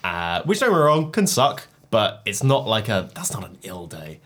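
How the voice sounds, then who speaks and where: A man's voice: fairly low pitch, big pitch swings, normal speed, a bit bright. A man co-hosting a casual chat podcast, laughing often and teasing the others. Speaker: podcast co-host.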